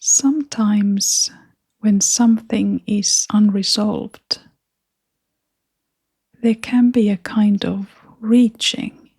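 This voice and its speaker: A woman leading a guided meditation in a gentle, breathy, whispery and creaky voice, speaking in short phrases with long, unhurried pauses. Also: mid-range voice, fairly even intonation, no room sound.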